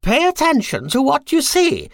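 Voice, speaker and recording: A man voicing a vain, haughty female witch in a storybook, with a shrill, bossy, dramatic manner. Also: wildly swooping pitch, moderate pace, gravelly, no room sound, clean studio sound, exaggerated voice. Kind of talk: storybook character voice.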